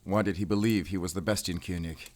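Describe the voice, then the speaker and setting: Grim, determined werewolf hunter played by a man, low-pitched, brooding and terse, measured audio drama delivery. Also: fairly even intonation, natural voice. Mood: probing. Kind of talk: cinematic audio drama acting.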